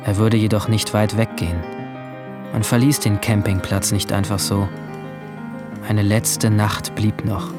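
A man playing a guilt-ridden seventeen-year-old boy, narrating his own story in a measured, introspective way in a radio drama. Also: fairly low pitch, flat delivery, lightly acted. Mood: brooding, sombre. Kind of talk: scripted first-person radio drama narration. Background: music.

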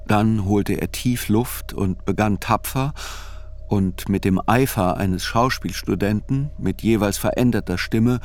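A man reads a scripted literary radio narration in a measured, sober way. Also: fairly low pitch, a little mellow.

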